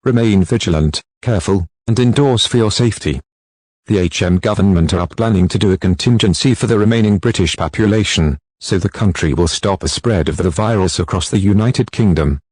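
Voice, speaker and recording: Low-voiced man reading an emergency alert. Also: a little mellow, dry recording. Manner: formal, measured, impersonal. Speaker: emergency broadcast announcer. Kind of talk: scripted emergency alert narration.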